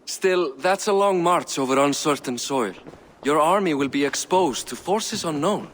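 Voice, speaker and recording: A diplomatic king who argues calmly for talks. His pitch sits a little high and his speech is persuasive. The voice rings in a long reverb. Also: unhurried, slight background noise.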